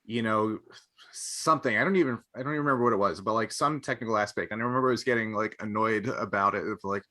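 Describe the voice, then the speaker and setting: Bright, forceful man chatting on a podcast. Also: on the low side, fast. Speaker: podcast co-host. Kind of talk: casual panel chat.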